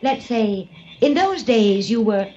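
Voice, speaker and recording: A woman's voice: fairly high pitch, expressive, dark tone. An actress playing a scheming blackmailing woman in a 1940s radio drama, sly and mocking. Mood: taunting. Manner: sly, mocking, drawn-out delivery. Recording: lo-fi old radio transcription with hiss.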